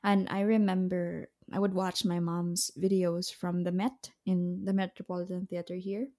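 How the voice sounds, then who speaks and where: A young woman, an opera soprano, talking casually, pausing often with fillers and restarts. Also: lowish voice, flat delivery, mellow. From Philippines. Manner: hesitant, many fillers and restarts, occasional laughs. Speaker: opera singer, soprano guest. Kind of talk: casual interview chat.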